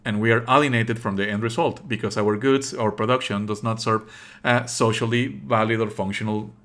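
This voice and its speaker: A man livestreaming casual game commentary, opinionated and scornful, swearing and explaining in a halting way with repeated phrases. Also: fairly low pitch, fairly even intonation, fairly bright tone.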